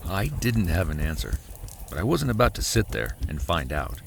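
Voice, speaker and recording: A man playing a weary husband and father, narrating a horror audio drama in the first person with dramatic pauses. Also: deep voice, sing-song, gravelly, heavy background noise, full character voice. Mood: tense, frightened. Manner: slow, dramatic storytelling with heavy pauses. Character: weary husband and father recounting a terrifying cabin getaway. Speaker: narrator and actor.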